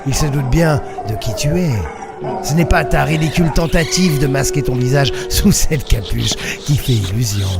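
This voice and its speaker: A man playing a sly, mocking alien crime boss in an audio drama, with theatrical, drawn-out phrasing. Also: mid-range voice, vocal fry, natural voice. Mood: mocking, menacing. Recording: music behind the voice. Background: dramatic music.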